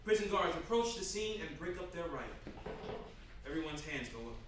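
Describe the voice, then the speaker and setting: A man's voice: a little high, sing-song, normal speed. A man narrating a screenplay as the reader of stage directions at a staged reading. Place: live staged reading in a room. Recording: distant mic in echoey noisy room.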